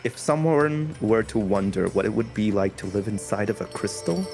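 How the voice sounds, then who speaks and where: A man playing a tabletop roleplaying game, describing a luxurious throne room in long, unhurried detail with casual asides. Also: fairly low pitch, animated, gravelly, music in the background. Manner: lush, slow scene-painting with casual asides. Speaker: player describing his character's throne room.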